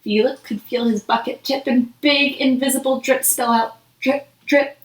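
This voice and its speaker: A woman's voice: sits high, fairly even intonation, moderate pace. A woman reads a children's picture book aloud expressively, putting questions to young listeners. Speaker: storybook reader. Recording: echoey room.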